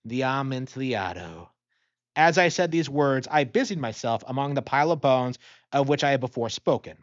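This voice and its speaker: A man's voice: medium pitch, a little fast, highs cut off. A man reading a gothic story as a dramatic audiobook narrator.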